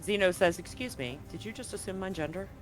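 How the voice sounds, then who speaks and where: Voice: medium pitch, fast talker, faint music behind. A game master running a live tabletop roleplaying game, talking casually and jokily with some swearing.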